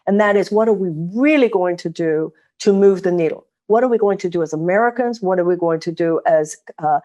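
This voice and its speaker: A woman hosting a serious political interview, earnest and measured, building her points in long sentences. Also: lowish voice, dark tone. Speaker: host.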